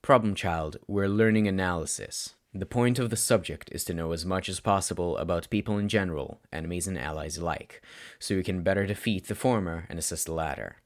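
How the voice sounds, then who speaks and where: Guy doing a tired gruff teacher in an audio story, low voice, careful and gentle with a student. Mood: firm, gentle. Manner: measured, careful, deliberate. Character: gruff but gentle, weary adult male teacher.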